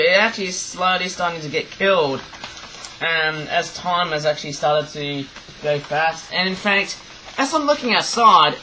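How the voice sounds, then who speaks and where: Young guy, bit croaky, rambly webcam chat from his bedroom, kinda high and bright voice. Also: a little hiss or hum, poor audio. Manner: rambling, repeats himself, many fillers and restarts. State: croaky voice, coughing. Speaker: vlogger reviewing craft toys. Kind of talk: casual rambling review. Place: cluttered bedroom, indoors. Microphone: webcam at desk.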